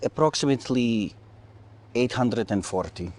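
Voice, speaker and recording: An actor playing a grim, callous Soviet military commander, formal and clipped, in a video game cutscene. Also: male voice, medium pitch, expressive, unhurried, a little mellow, lightly acted. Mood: deferential, clinical.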